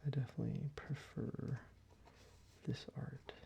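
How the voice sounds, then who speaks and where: A young man talking softly in a half whisper, casual and rambling, as an ASMR creator. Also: mid-range voice, steady tone, measured pace, vocal fry. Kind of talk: soft-spoken ASMR show-and-tell. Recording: slightly muffled, with faint ambient noise.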